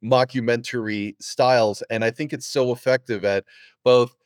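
A middle-aged man with a medium-pitched voice and a dry recording. He hosts a conversational interview, setting up questions in a measured, appreciative manner. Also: fairly even intonation, no room sound. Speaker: podcast host, interviewer.

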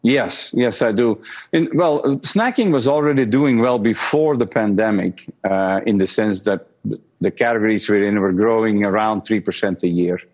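Low-voiced executive guest on dull audio. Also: male voice. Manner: measured, with frequent restarts and repeated words. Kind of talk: interview answers.

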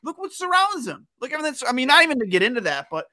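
An American man hosting casual sports talk, halting and repeating himself in an excitable way. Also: very high voice, crowd noise. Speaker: podcast host.

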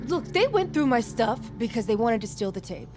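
A defiant young female army recruit with a fairly high voice. She is blunt, profane and sarcastic, in a naturalistic audio drama with music behind her. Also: sing-song, a little fast. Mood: defensive, sarcastic. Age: young adult.

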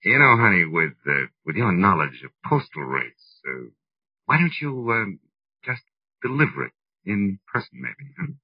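A man's voice: on the low side, big pitch swings, normal speed, slightly rough, no room sound, exaggerated voice. An actor playing a wry, flirtatious hard-boiled private detective in 1940s radio style, musing as he talks. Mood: flustered, flirtatious. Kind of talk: 1940s radio acting, first-person detective narration. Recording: dull old-time radio recording.

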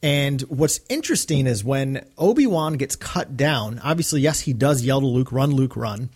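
A man's voice: mid-range voice, lively intonation, moderate pace, a little mellow, slightly rough. A man with a mid-range voice chats as a podcast co-host. He is enthusiastic and loose, rambling as a fan does through his thoughts. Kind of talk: casual fan discussion.

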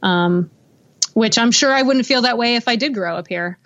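A woman's voice: fairly high pitch, moderate pace, fairly bright tone, lo-fi sound. An American woman, a guest musician, talking casually and easily, with some fillers and laughter. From United States. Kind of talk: casual interview chat.

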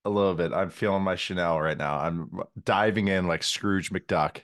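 A man's voice: deep voice, moderate pace, a bit bright, no echo, studio quality. A man appearing as a guest football analyst on a podcast, joking and rambling with many fillers. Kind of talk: casual sports podcast chat.

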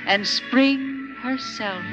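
Slow, lyrical poetry-reciting machine voice. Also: female voice, high-pitched. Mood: lyrical, mournful. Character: gentle recorded house voice reciting a poem. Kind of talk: 1950s radio poetry reading. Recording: dull old radio, music underneath.

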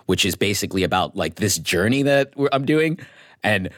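Male actor, low-pitched, effusive casual talker, very dry studio sound. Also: expressive, moderate pace, a bit bright, dry recording. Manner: effusive, informal. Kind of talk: casual panel chat.

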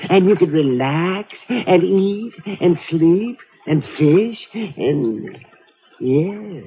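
High-voiced, dithering rich old eccentric. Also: male voice, animated, extremely slow, slightly rough, heavily acted. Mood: cheerfully generous. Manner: dithering, chuckling, absent-minded. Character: scatterbrained, genial, wealthy eccentric old yacht owner. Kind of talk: 1950s American radio drama acting. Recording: muffled phone-like old radio recording.

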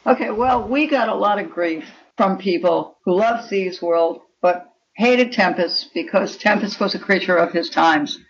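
A woman's voice: mid-range voice, measured pace, few pauses. A woman speaking as a guest author in an interview, talking about writing fiction in an opinionated, emphatic way. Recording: dull, lo-fi call audio.

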